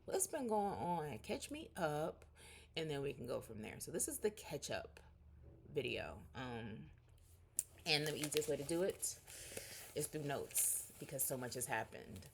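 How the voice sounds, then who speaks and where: A woman in her forties talks to her vlog audience from home in a candid, rambling, chatty way. Her voice sits low, and a faint room hum runs underneath. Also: big pitch swings, a little slow, gravelly. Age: 40s. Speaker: vlogger. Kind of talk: casual catch-up vlog.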